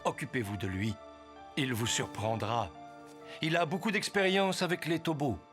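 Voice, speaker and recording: A man voicing a polite, dignified executive in an animated cartoon, formal and earnest. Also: medium pitch, normal speed, over music, natural voice. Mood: composed. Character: courteous, dignified male executive, earnest and calm.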